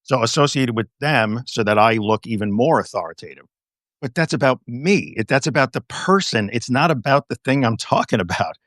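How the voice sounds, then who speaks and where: A man's voice: medium pitch, animated, brisk, a bit bright, dry recording. A man speaking as a therapist guest in a conversational interview, frank and emphatic.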